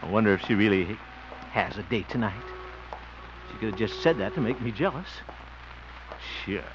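An actor playing a boastful, bumbling small-town bachelor who gets flustered easily, in a 1950s radio comedy. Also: male voice, lowish voice, animated, moderate pace, close to an everyday voice. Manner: blustery, easily flustered, chuckles. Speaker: lead comic character. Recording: dull old radio recording with hiss.

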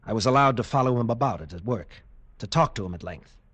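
An actor playing a haunted, defiant captive lieutenant, telling his ordeal with grave intensity and dramatic pauses in an old radio drama. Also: mid-range voice, expressive, a bit bright, husky, dry recording. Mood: bitter, resigned. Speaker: narrator and protagonist. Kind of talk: dramatic first-person radio narration, 1940s-50s.

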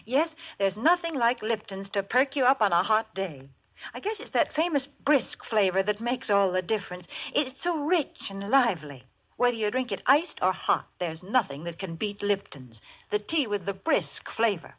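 A woman announcer delivering a scripted 1940s radio sponsor pitch in a folksy, chatty way. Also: big pitch swings, normal speed, fairly bright tone. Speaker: commercial announcer and co-host. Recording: dull old radio recording.

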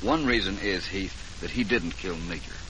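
An actor playing a confident, shrewd private detective, speaking with assured, deliberate command in 1940s radio drama style. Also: male voice, sits low, natural voice. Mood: assured, probing. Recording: noisy vintage 1940s radio recording.

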